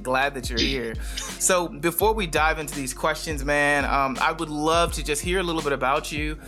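A man's voice: fairly high pitch, normal speed, pushed and bright, soft background music. A man hosting a podcast, introducing the show and his guest in an enthusiastic, upbeat way, with frequent ums and uhs. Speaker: podcast host.

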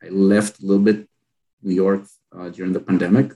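Israeli-accented founder, late 30s, deep voice with gravel, easygoing storyteller who trails off. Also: male voice, a little fast, muffled recording. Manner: relaxed storytelling, frequent fillers and trailing off. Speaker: company founder and guest. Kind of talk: casual interview chat.

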